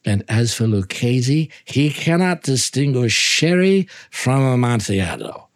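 A man's voice: medium pitch, very animated, unhurried, voice pushed up. A man voicing a proud, scornful, drunken Italian wine connoisseur in a dramatic reading, blurting short exclamations and questions. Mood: scornful, insistent.

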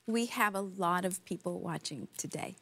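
A woman's voice: lowish voice, normal speed, vocal fry, bright, forceful tone, slightly rough. Earnest female talk-show co-host.

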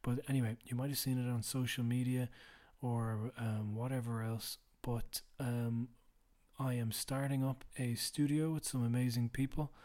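A tired man hosting a podcast late at night, speaking low and quiet in a rambling, self-deprecating way. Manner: rambling, self-deprecating, drops to a mutter at times. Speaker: podcast host. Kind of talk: casual solo housekeeping chat.

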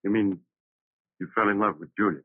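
An actor playing a measured, understanding doctor in an old radio drama. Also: male voice, on the low side, steady tone, breathy edge, no echo. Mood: calm, attentive. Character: calm doctor listening to a confession. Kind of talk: 1940s radio drama acting. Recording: muffled, remastered old radio recording.

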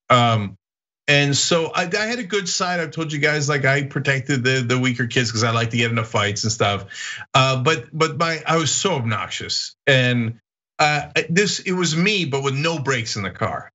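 A man raised in Turkey, hosting a casual podcast and telling stories in an animated way, laughing often. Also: mid-range voice, highs cut off. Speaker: podcast host. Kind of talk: casual chat.

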